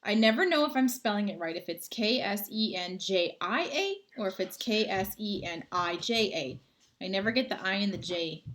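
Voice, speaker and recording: A woman's voice: mid-range voice, moderate pace, fairly bright tone. A woman, chatting casually with viewers on a livestream from home and reading out their comments. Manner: chatty, friendly, rambling. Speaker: livestream host. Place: home, indoors.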